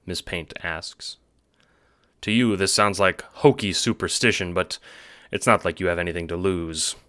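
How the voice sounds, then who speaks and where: A man's voice: low voice, steady tone, measured pace, bright, forceful tone, dry recording, studio quality. A man giving scripted narration for an audio book styled as a drama. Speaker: narrator, writer and producer.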